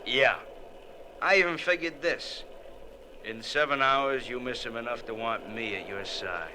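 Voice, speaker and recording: A man's voice: medium pitch, unhurried, pushed and bright, slight background noise. An actor playing a cocky, menacing smuggler with a gun, taunting in tough-guy slang in an old radio adventure. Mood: teasing.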